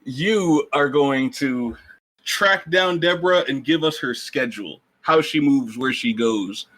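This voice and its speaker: A man playing a bossy, menacing rogue schemer in a tabletop game, giving orders in a deliberate, self-satisfied way. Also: mid-range voice, a bit bright, poor audio. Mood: commanding, negotiating.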